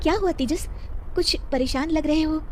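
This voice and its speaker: A woman voicing a polite, pleading young working wife and daughter-in-law, soft-spoken and deferential, in a story. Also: high voice, normal speed, a little hiss or hum, pitched above the performer's own voice, lightly acted. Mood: concerned.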